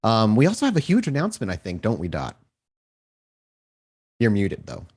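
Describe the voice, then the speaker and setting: A man's voice: fairly low pitch, huge pitch swings, normal speed, darkish tone, slightly rough. A man speaking casually as the host and storyteller of a live-streamed tabletop game.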